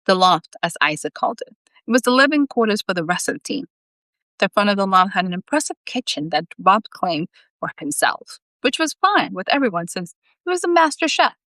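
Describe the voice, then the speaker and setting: A woman's voice: medium pitch, sing-song, a little fast, a bit bright, no echo. A woman reading a story aloud as its author, in a live reading style with casual asides.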